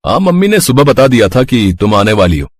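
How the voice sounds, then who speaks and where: A man playing a conciliatory family man, a caring brother and husband, in an animated Hindi family story, with a husky voice. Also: mid-range voice, fairly quick, dry recording, clean studio sound. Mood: friendly.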